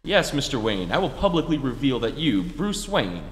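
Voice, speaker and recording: Man acting menacing stranger, insistent blackmailer, old radio drama style, lively intonation, faint noise in a reverberant hall. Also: medium pitch, moderate pace, natural voice. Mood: coolly threatening. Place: stage of a community theatre.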